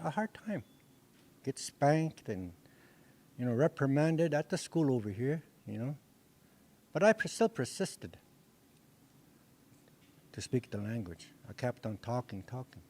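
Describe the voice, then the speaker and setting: Older man teaching, earnest, halting. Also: mid-range voice, darkish tone. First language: Dakota. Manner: earnest, repetitive, with frequent restarts. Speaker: Dakota language teacher. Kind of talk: classroom lecture. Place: indoor meeting room. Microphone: handheld mic.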